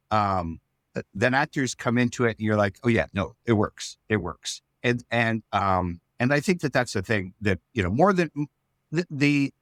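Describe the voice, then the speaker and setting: A man talking casually in an interview as a show's writer, rambling and halting as he thinks aloud. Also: mid-range voice, fairly bright tone, dry recording, clean studio sound. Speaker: show writer and creator.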